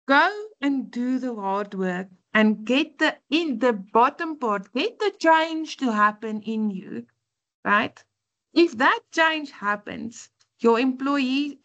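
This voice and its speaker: A woman's voice: on the high side, fairly bright tone. A woman lecturer with an Afrikaans accent, a native Afrikaans speaker, giving an earnest orientation class with frequent "um"s. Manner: earnest, explanatory, frequent fillers. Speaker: university lecturer.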